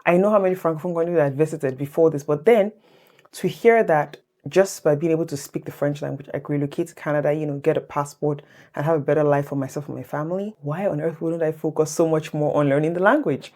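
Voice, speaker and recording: A woman in her thirties telling her exam story to viewers in a chatty, animated way. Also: low voice, quick pace. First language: English. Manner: animated, chatty, addresses viewers as guys, laughs easily. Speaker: vlogger sharing exam tips. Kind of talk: casual personal storytelling to camera.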